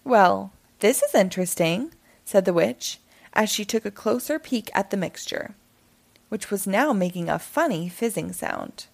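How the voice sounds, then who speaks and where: A woman reading a children's picture book aloud in an animated storytelling style. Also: mid-range voice, unhurried, creaky.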